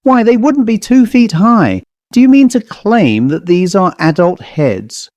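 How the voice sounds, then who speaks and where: Man as high-pitched, brusque expedition leader. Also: very animated, measured pace, a little mellow, no echo. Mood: scornful disbelief. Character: brusque, hard-headed, sceptical male expedition leader.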